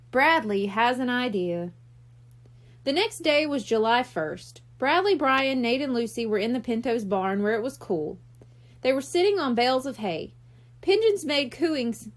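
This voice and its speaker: A woman reading a children's book aloud in a scripted storytelling style. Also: on the high side. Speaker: audiobook reader. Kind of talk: animated children's story narration.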